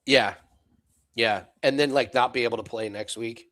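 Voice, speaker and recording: A man's voice: mid-range voice, steady tone, moderate pace, a bit bright. An American man co-hosting a casual live darts chat, laughing often and calling the scores play by play. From United States. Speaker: co-host calling darts bets.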